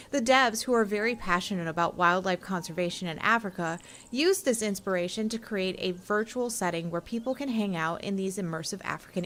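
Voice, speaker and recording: A woman's voice: medium pitch, a bit bright, a little hiss or hum. A woman co-hosting a games show, moving between casual chat and scripted review narration. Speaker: show co-host and game reviewer.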